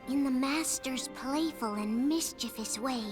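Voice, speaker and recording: A woman narrating a game prologue in a measured fairy-tale storytelling manner. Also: high-pitched. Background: game music.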